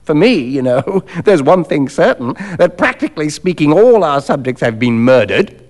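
A man voicing a cynical, dapper young doctor in a story, brisk, worldly and sneering. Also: fairly high pitch, big pitch swings, darkish tone. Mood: cool, insistent.

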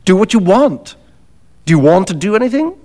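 A man voicing a cynical, dapper young doctor in a story, brisk, worldly and sneering. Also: sits high, sing-song, a little mellow, pitched above the performer's own voice. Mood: cool, insistent.

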